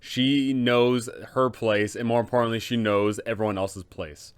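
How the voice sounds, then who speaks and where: A man hosting a scripted explainer for game masters, speaking emphatically in deliberate phrases with rhetorical questions. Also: mid-range voice, steady tone, clear, resonant tone. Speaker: host, game master advisor. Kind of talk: scripted explainer on running a tabletop campaign.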